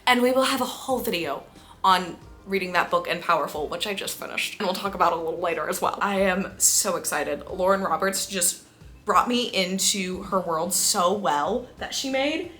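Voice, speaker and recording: A woman talking casually to viewers as a book vlogger, with a gushing, excitable and emphatic manner. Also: lowish voice, normal speed, faint music behind.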